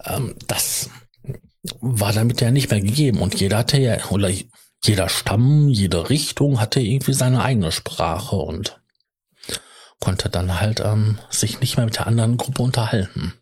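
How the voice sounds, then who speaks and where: A man with a rather low, very husky voice talks off the cuff. He rambles in a halting, colloquial way, breaking off and picking up his thread again, with a self-deprecating manner. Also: darkish tone. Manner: halting, self-interrupting, tangential. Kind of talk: casual rambling monologue.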